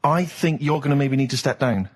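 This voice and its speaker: A man's voice: mid-range voice, brisk, crowd noise. A British man hosting comic radio banter, teasing in a mock-earnest way. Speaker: radio show host.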